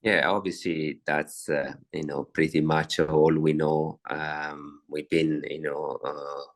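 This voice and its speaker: A man's voice: extremely low pitch, flat delivery, takes it slowly. A middle-aged man from Italy with an Italian accent, a racehorse trainer answering interview questions with many fillers and restarts.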